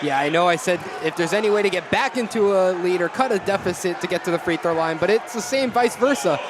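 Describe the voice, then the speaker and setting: A man's voice: sits high, fast, background noise. A young man giving analytical basketball color commentary on the radio. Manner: analytical, starts answers with "yeah". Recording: radio call with loud arena crowd.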